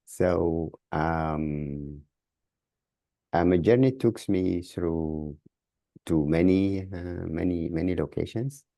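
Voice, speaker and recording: A man from Sudan with a Sudanese accent, answering as a podcast guest in a halting way, repeating words as he searches for phrasing. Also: low voice, vocal fry, dark tone, dry recording. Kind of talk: interview answers.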